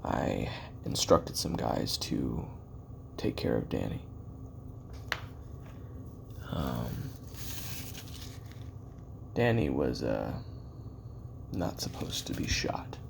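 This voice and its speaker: A man playing a weary, regretful ex-assassin in an audio roleplay, recording his memoirs in a halting, confessional, musing way with a gravelly voice. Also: a bass voice, big pitch swings, slight background noise, full character voice. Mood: musing, guarded. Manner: halting, musing, trailing pauses and self-corrections.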